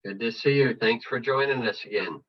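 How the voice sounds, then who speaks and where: A male host making relaxed small talk and introducing a guest on a video call. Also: mid-range voice, brisk. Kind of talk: casual video call chat. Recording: distant, dull video call audio.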